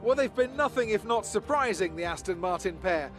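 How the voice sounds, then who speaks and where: A man's voice: high voice, animated, a little fast, music in the background. A man as a polished, upbeat TV race commentator in a video game, presenting in broadcast style. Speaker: lead motorsport commentator.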